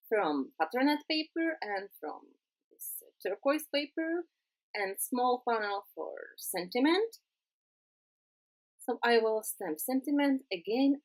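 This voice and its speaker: A woman with a Czech accent, a non-native English speaker, narrating a card-making tutorial in a halting way with many pauses. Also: fairly high pitch, rough recording. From Czech Republic. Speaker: crafting tutorial host.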